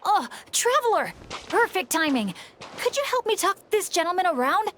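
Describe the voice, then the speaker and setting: A woman's voice: very high-pitched, sing-song, normal speed, a bit bright, a little hiss or hum, exaggerated voice. A woman voicing an earnest, clumsy young male adventurer who keeps pleading to be allowed to help, in a video game. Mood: eager.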